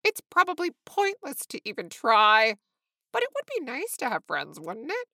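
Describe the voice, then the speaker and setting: A woman's voice: high voice, huge pitch swings, normal speed, pushed and bright, no echo, studio quality, pitched above the performer's own voice, heavily acted. A woman voicing an anxious, lonely young male flamingo who blurts things out dramatically, full of self-doubt, in a children's story. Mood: glum.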